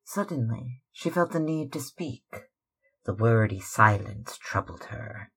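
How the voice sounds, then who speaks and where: A man reading literary fiction aloud as narrator, in a measured, dramatic way. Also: mid-range voice, animated, vocal fry, fairly bright tone, breathy edge. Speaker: audiobook reader.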